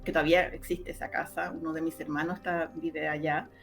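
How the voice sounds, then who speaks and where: A middle-aged woman with a Chilean accent, telling stories conversationally as an interview guest, often pausing to find her words. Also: deep voice, flat delivery, creaky, faint music behind. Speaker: interview guest, radio drama maker and journalist.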